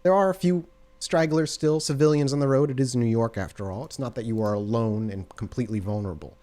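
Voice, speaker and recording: A man's voice: mid-range voice, fast, mellow. A man acting as game master, narrating scenes for a tabletop roleplay game in a descriptive way.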